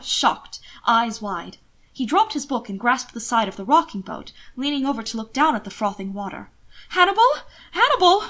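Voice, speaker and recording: A woman's voice: fairly high pitch, fairly bright tone. A woman reading scripted romantic fiction expressively, as an audiobook narrator.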